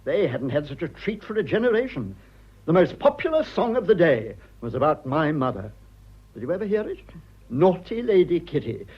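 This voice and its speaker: An actor playing a prim, fussy, earnest son with an upper-class English accent in a radio drama. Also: male voice, a little high, sing-song, normal speed, breathy edge, highs cut off. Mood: indignant, rueful. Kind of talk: stage comedy of manners radio acting.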